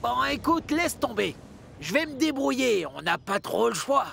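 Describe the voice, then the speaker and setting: An actor voicing cheeky, excitable young twin train engines in a children's cartoon, whiny and quarrelsome. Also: male voice, very high voice, normal speed, gravelly, heavy background noise, full character voice. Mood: put out. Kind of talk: dubbed children's cartoon acting.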